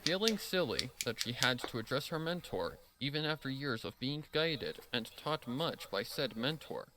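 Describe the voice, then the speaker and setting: A man's voice: mid-range voice, animated, moderate pace, pushed and bright, slight background noise, poor audio. A man narrating a scripted story, reading expressively and dramatically.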